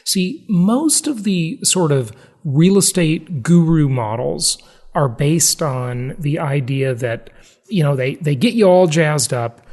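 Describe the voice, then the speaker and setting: A man's voice: fairly high pitch, lively intonation, slow, darkish tone. A man hosting a podcast in casual conversation, with an emphatic, salesy and joking way of talking. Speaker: podcast host.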